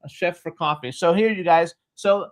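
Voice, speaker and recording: A man's voice: a little high, normal speed. A man hosting an interview show in casual chat, gushing and exclamatory toward his guest. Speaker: talk show host.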